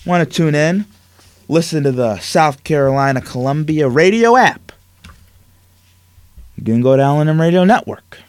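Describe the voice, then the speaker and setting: A man's voice: fairly high pitch, expressive, moderate pace, long pauses, darkish tone, clean tone, dry recording. A man hosting a sports talk show, ranting emphatically with rhetorical questions, then chatting with a guest. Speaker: sports talk radio host.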